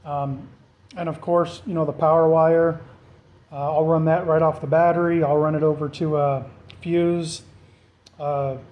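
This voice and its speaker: A man's voice: a little high, level tone, measured pace, narrow, tinny audio. A man narrating a do-it-yourself project in a casual, off-the-cuff way, thinking aloud informally as he goes. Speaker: DIY vlogger.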